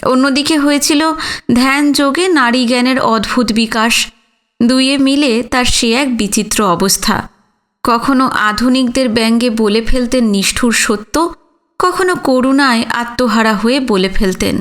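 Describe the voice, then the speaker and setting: A woman narrating a literary audio story in a measured, solemn manner with deliberate phrasing. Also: a little high, fairly bright tone.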